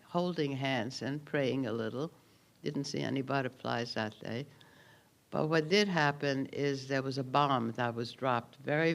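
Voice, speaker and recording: A man in his eighties with a Hungarian accent, giving a personal testimony speech at a memorial ceremony, telling his story calmly with dry understatement. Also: medium pitch, vocal fry. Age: 80s. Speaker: Holocaust survivor giving a keynote.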